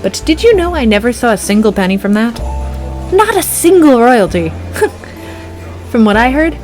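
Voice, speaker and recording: A woman's voice: fairly high pitch, big pitch swings, a little slow, a little mellow. The fed-up reindeer on the last bar stool of a radio comedy, griping drily over music, acted by a woman. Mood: bitter, dry. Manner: world-weary, griping, dryly pedantic. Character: jaded, tipsy, grumbling female-voiced reindeer drinking at a bar. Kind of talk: comedic audio play acting.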